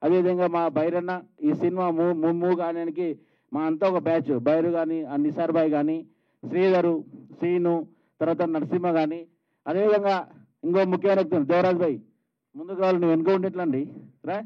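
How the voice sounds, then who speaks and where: Male film producer, Telangana Telugu accent, slightly high pitch, conversational stage speech, lo-fi event audio. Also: fairly even intonation, quick pace, dry recording. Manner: calls people out by name, conversational. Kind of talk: informal public thank-you speech at a film event. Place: film trailer launch stage.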